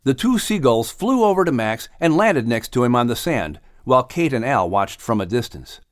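A man narrating a children's audiobook in an expressive, scripted storytelling style. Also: mid-range voice, normal speed, dry recording, clean studio sound.

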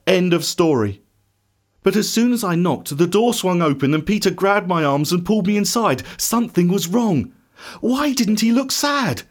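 A man plays an anguished male disciple in a dramatic storytelling monologue. His voice is high for a man. He is bitter and doubting, theatrical in his grief, and moves between hushed confession and raw shouting. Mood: astonished.

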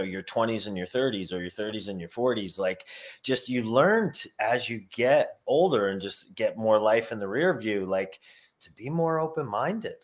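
A man co-hosting a casual podcast, laid-back and joking, with crude asides and plenty of "yeah"s. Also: lowish voice. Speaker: podcast co-host.

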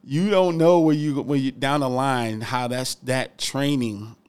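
Smooth-voiced male podcast host. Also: medium pitch, steady tone, unhurried, a little mellow. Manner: encouraging, laughs while talking. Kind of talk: casual interview conversation.

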